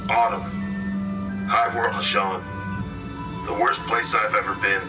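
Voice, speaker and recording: Weary, resolute male field reporter a man acting, radio drama dispatch, low and terse, slightly distant over music. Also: little pitch movement, slow. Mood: reflective. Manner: clipped short phrases, grave and solemn. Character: weary, resolute male war correspondent at the battlefront.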